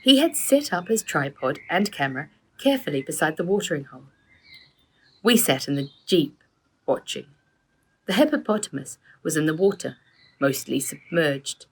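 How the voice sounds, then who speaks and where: A woman reading as a wry storytelling father, slightly husky. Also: sits low, full character voice. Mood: wry, unhurried. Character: father recounting a childhood safari adventure, wry storyteller. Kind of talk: storybook character narration.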